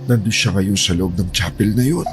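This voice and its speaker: A man playing a calm, pious cult apostle in an audio horror drama, earnest, polite and persuasive as he recruits. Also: deep voice, moderate pace, over music.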